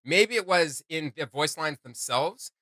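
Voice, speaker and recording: A man's voice: a little high, lively intonation, measured pace, bright, forceful tone, no room sound, studio quality. A man hosting a reaction video, giving casual commentary with emphatic delivery.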